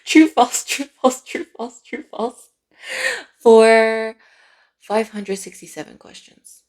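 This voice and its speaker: Casual, playful woman vlogger telling stories. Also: on the high side. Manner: playful, animated asides with giggles and drawn-out words. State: sleep-deprived. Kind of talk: casual chatty personal vlog storytelling.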